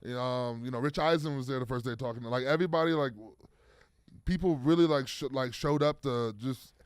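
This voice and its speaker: A man's voice: mid-range voice, fairly quick, clean tone, no room sound, studio quality. A man in his thirties, a football player guest, talking casually in an interview with lots of fillers and restarts. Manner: rambling, many fillers and restarts.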